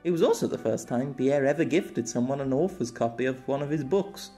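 A man's voice: medium pitch, expressive, fairly quick, mellow, faint music behind. A man reading scripted story narration expressively as an amateur audiobook narrator.

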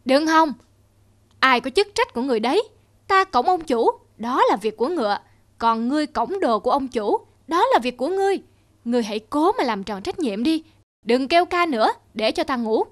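A woman's voice: high voice, expressive, a little fast. A woman voicing a haughty, scornful horse in a cartoon, talking in a pompous, scolding way. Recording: music under the voice.

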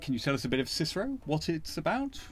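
A man's voice: a little high, big pitch swings, fast, soft background music. A man, hosting a casual quiz as banter. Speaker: interviewer, quiz host, audio drama director. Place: recording studio control room, indoors.

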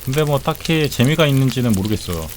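A man in his forties streams a game and banters with his viewers in a loose, rambling way, joking and swearing bluntly. His pitch swings widely and expressively. A faint hiss sits under his voice. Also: animated, quick pace. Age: 40s. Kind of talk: casual live-stream chat while gaming.

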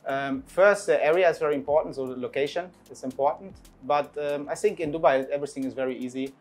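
A man in his thirties, a restaurant partner, answering interview questions with many pauses and fillers, recorded on a lapel mic in a restaurant. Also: fairly high pitch, faint music behind. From Portugal, raised in Germany. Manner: hesitant, many ums and uhs. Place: restaurant dining area, indoors.

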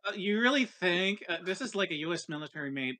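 Bright, higher-voiced male gamer. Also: expressive, quick pace, no echo. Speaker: tabletop game player. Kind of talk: casual chat.

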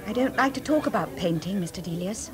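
A woman's voice: medium pitch, expressive, brisk, natural voice. An actress playing a frank, slightly self-deprecating young woman painter in a 1980s radio drama. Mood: earnest. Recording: party crowd behind.

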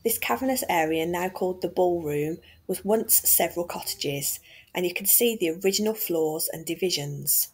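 A woman from England talks through a walking tour of old homes. Her voice sits a little low and has a husky, slightly breathy edge. She narrates in a chatty, enthusiastic way, as if showing a friend around. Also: normal speed. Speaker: vlogger and tour guide.

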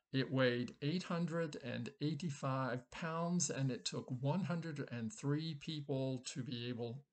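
A man in his sixties, a pastor, reading scripture and then giving a gentle children's sermon from a small room at home, recorded on a webcam at his desk. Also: medium pitch. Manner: slow, gentle storytelling aimed at children.

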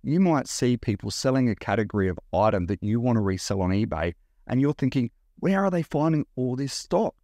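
A man in his forties with an Australian accent, talking straight to camera about reselling in a blunt, animated way. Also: medium pitch, no echo, studio quality. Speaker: reseller vlogger. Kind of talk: direct-to-camera vlog talk. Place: indoor room by large window.

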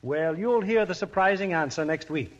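A man's voice: high-pitched, moderate pace, dull audio. A man hosting a 1940s radio drama broadcast in a polished, genial, scripted way. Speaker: radio show host and director. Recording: old radio broadcast with music.

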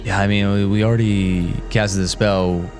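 Lower-voiced man chatting over faint music. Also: flat delivery, normal speed. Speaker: tabletop game player. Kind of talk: casual game talk. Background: soft background music.

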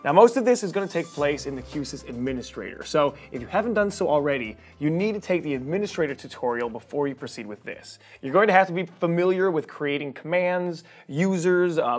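An American man in his thirties presenting a scripted but conversational software tutorial in an animated, playful way. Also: fairly high pitch. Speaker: software training instructor.

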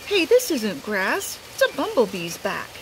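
A woman's voice: a little high, wildly swooping pitch, a little slow. A woman voicing a bold, curious little girl from a storybook, eager and exclaiming, outdoors with rain noise.

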